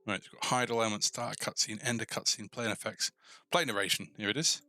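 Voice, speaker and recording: A man with a fairly low, bright and forward voice talks through code on a live tutorial stream. He is informal and tentative, thinking out loud and pausing mid-thought as he works. Music plays clearly behind him. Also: fairly even intonation, no room sound. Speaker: host of a coding tutorial stream. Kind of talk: casual live coding walkthrough, thinking aloud.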